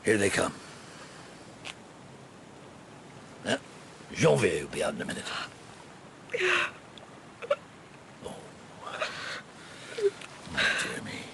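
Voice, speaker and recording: Weary middle-aged detective, low voice w lots of movement, thoughtful, radio play. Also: male voice, huge pitch swings, unhurried, creaky, pauses often, noisy background, heavily acted. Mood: hushed, watchful. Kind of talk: radio drama acting.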